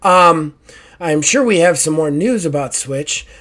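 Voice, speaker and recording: Hoarse, joking man hosting a podcast. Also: fairly high pitch, expressive, measured pace, fairly bright tone. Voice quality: raspy, strained throat. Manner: joking, profane, self-mocking. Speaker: podcast host. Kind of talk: casual podcast chat.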